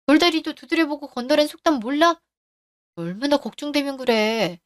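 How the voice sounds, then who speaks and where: A woman's voice: sits high, animated, normal speed, no room sound, studio quality. A woman voicing a cautious, worried wife who keeps questioning her husband, in a radio drama. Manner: anxious, nagging questions.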